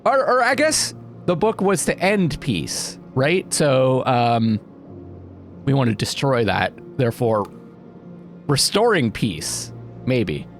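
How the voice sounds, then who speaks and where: Animated man musing through a game. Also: mid-range voice, slow pace. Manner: musing, often questioning himself. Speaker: let's play host. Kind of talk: casual gaming commentary, thinking aloud and reading out game text. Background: game music.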